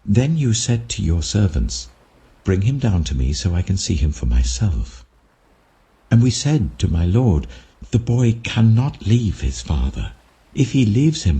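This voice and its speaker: A man's voice: sits low, mellow, exaggerated voice. A man voicing a humble, earnest brother pleading deferentially before a lord in a dramatic scripture reading.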